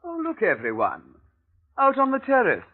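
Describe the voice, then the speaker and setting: Gushing high-voiced society dandy. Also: male voice, wildly swooping pitch, moderate pace, full character voice. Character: dashing, gushing English society ladies' man. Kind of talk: 1950s radio drama acting. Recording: tinny old radio recording, music behind.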